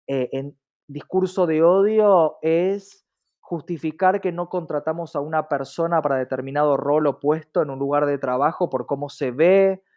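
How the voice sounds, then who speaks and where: A man's voice: a little high, normal speed. A man with an Argentine accent, an interview guest explaining things in an articulate, emphatic way with frequent rhetorical checks. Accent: Argentine Spanish. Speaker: interview guest, diversity advocate. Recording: dull, muffled lo-fi audio.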